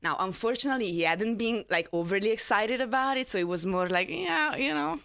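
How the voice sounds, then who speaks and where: A woman's voice: on the high side, animated, a little fast, creaky, a bit bright, clear, resonant tone, no echo, studio quality. A woman with an Italian accent, telling her personal story as a panel speaker with self-deprecating humour. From Italy. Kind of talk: personal storytelling to a live audience.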